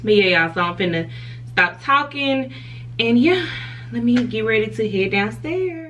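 A woman's voice: fairly high pitch, expressive, unhurried, fairly bright tone, far from the mic, slight background noise. A young woman, talking frankly to camera in a hotel room, laughing often. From Southern US. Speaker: vlogger. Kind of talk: casual chat to camera.

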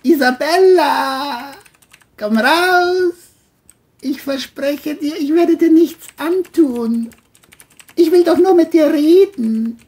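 A man voicing a crazed, murderous man in a crime audio drama, coaxing his victim in a drawn-out, mock-friendly singsong. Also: extremely high pitch, darkish tone, voice pushed up. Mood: falsely coaxing.

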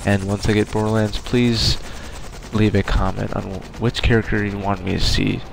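Young man, gravelly, nasal, under the weather and weary, quiet casual gaming commentary, noisy recording. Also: on the low side, little pitch movement, normal speed. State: sick and tired, speaking quietly. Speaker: gaming YouTuber. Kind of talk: casual gameplay voice-over commentary.

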